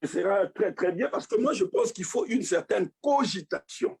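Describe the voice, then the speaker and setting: A man's voice: high voice, lively intonation, moderate pace, vocal fry, a little mellow, breathy edge. A man from Congo, a professor speaking emphatically on a video call, repeating words as he stresses key points. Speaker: professor speaking at an online meeting. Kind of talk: impassioned lecture-style remarks.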